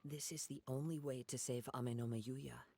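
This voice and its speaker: An actor playing a calm, noble young wandering samurai in a video game, speaking in a measured, earnest and formal way. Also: male voice, mid-range voice, creaky, slight background noise, natural voice. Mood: earnest, composed. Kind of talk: scripted game voice acting. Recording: game music behind the voice.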